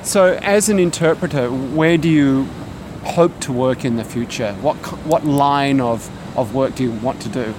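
A man interviewing a graduate student, asking measured follow-up questions. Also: mid-range voice. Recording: background noise.

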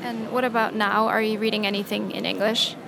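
A woman's voice: medium pitch, flat delivery, fairly quick, vocal fry, crowd noise. A woman interviewing, asking prompting follow-up questions. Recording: noticeable background noise.

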